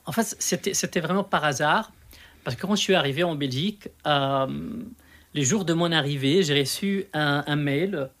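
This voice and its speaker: Higher-voiced Lebanese man telling his experiences. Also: moderate pace, fairly bright tone. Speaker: storyteller, interview guest. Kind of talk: conversational storytelling in an interview.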